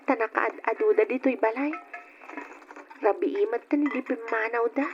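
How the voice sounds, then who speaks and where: A high, youthful female voice of a radio actress on a dull recording with faint noise. The character is a timid young woman, respectful and hesitant. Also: close to an everyday voice. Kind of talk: radio drama acting.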